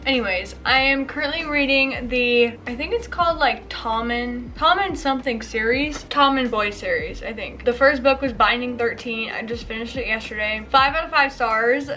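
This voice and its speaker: High-voiced young American woman vlogging. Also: normal speed, few pauses, pushed and bright, slight background noise. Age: 20s. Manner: chatty, informal and slangy, peppered with "like". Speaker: vlogger, new graduate nurse. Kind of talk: casual chat to camera.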